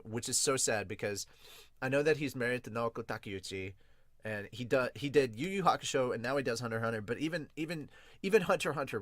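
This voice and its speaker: A man hosting a podcast with casual, rambling review commentary, excitable and sweary. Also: mid-range voice, no room sound, studio quality. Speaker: podcast host and manga reviewer.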